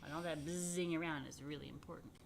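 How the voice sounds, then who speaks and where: A woman reading a picture book aloud to children, expressively and unhurriedly, with dramatic pauses and chatty asides. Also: sits low, steady tone, creaky, a little hiss or hum. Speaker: rabbi reading a children's story. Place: indoors.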